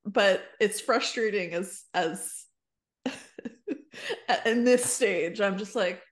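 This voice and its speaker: A woman's voice: medium pitch, extremely slow. A woman in her thirties chatting casually as a podcast co-host, retelling conversations in quoted back-and-forth. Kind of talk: casual chat. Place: indoor room on a video call.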